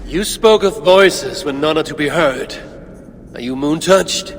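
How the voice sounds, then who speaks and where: A man playing a proud, commanding Norse warrior god in a video game, with gravelly, solemn and imperious speech. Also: a little high, measured pace. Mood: calm, guarded. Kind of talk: dramatic video game acting. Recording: reverberant, noisy game cutscene ambience.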